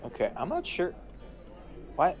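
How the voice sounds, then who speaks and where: A man's voice: high voice, huge pitch swings, a little slow. A man talking casually as a player in a tabletop game. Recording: dull lo-fi voice channel, music behind.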